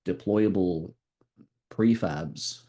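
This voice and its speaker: A young man player chatting casually in a calm, soothing way, with many hesitant fillers. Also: low-pitched, very slow. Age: young adult. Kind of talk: casual chat.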